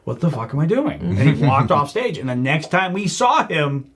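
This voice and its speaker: A man talking casually on a podcast as a comedian, animated and self-deprecating, laughing often. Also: fairly high pitch, normal speed, husky. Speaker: stand-up comedian guest.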